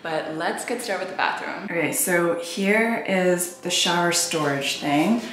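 A woman's voice: lowish voice, a little slow, a bit bright, slight background noise. A woman in her thirties chatting casually to camera as a vlogger, thinking aloud as she does tasks around an empty apartment. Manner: informal, thinking aloud while doing tasks. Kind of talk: casual vlog chat. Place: empty apartment and small tiled bathroom, indoors. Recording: echoey empty rooms, background music.

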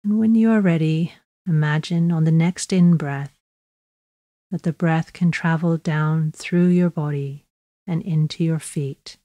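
A woman guiding a body scan meditation in a slow, measured, gently instructive way, leaving long pauses. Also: low voice, no echo. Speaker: mindfulness meditation guide.

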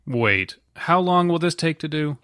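A man co-hosting a comedy podcast, giving dismissive, deadpan replies in sketch banter. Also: fairly high pitch, unhurried, no room sound. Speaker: podcast host.

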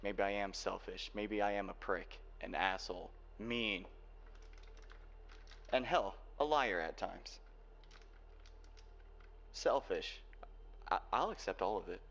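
A man acts out a guarded young cyberpunk hacker boyfriend in an ASMR roleplay. His voice sits low, and he speaks in earnest, halting phrases, protective of his partner. The recording is dull and lo-fi with faint noise. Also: gravelly, fairly bright tone, full character voice. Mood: earnest, tender. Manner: earnest, halting delivery with sighs.

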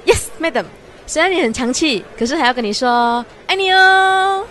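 A woman's voice: high-pitched, lively intonation, normal speed, fairly bright tone, faint music behind. A young woman with a Malaysian Chinese accent playing a bubbly, coy, teasing university student in a radio drama. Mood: coy, cheerful. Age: young adult. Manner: coy, teasing, particle-heavy colloquial speech. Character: bubbly, playful 23-year-old female university student in love.

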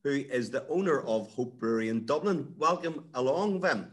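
A man's voice: medium pitch, animated, normal speed, slightly rough. A middle-aged man hosting an interview over a video call from an office, upbeat and jokey. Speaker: interview host. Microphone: laptop webcam on a video call.

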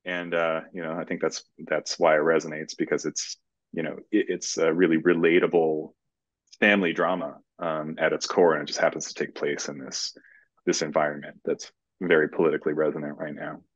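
A man in his thirties, a screenwriter casually telling his story in a halting way, over dull, dry video-call audio. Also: a bass voice, level tone, no echo. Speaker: screenwriter being interviewed. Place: indoor room, video call.

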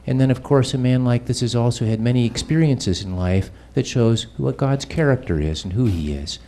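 Middle-aged pastor introducing speaker, mellow. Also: male voice, medium pitch, normal speed, a little hiss or hum. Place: church hall. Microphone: handheld mic.

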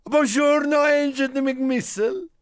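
A man voicing a small, excitable, friendly Italian car in a video game, theatrical and exclamatory, with an Italian accent and broken English. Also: very high voice, measured pace, no room sound, clean studio sound. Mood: welcoming. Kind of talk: animated video game character lines.

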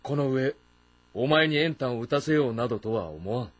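An actor playing a commanding, shrewd warlord of ancient China in a dramatic game, with a terse, authoritative manner. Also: male voice, mid-range voice, animated, normal speed, dry recording, natural voice. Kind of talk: dramatic audio-novel game acting.

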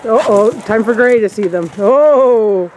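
A woman's voice: on the high side, unhurried, darkish tone. A woman on a farm pasture, chatting casually and cheerfully with playful commentary on the animals. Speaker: homesteader co-host. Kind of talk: casual chat while filming. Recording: noisy outdoor camera audio. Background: farm animals and outdoor noise.

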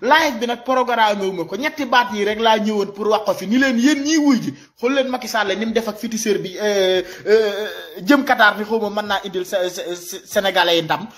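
High-pitched Senegalese man, exhorting. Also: brisk, few pauses. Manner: animated, repetitive, exhorting. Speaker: social media commentator. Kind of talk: impassioned informal video commentary. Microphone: phone at arm's length.

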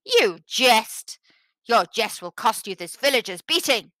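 A woman acts out a greedy tyrant from a folktale, scornful and quick to anger. The delivery is loud and imperious, with a bright, forceful edge in a dry recording. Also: medium pitch, dry recording. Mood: furious, scornful. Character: greedy, hot-tempered male Mongol tyrant, imperious and scornful. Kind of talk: storybook character voice.

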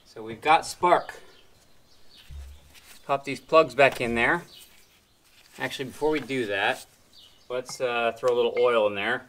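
A man in his thirties talks his way through a repair job in an open metal barn, half muttering to himself, half to the camera. His voice sits at an ordinary middle pitch. A faint hiss of noise runs underneath. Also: a little slow, pauses often, fairly bright tone. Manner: muttering, self-narrating while working. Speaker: restoration vlogger. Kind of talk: casual hands-on narration.

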